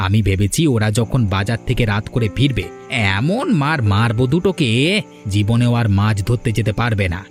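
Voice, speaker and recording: A man's voice: on the low side, expressive, normal speed, soft background music, lightly acted. A man voicing a vengeful, scheming village fisherman who plots a beating in a cartoon. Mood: plotting. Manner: plotting, menacing. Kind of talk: cartoon voice acting.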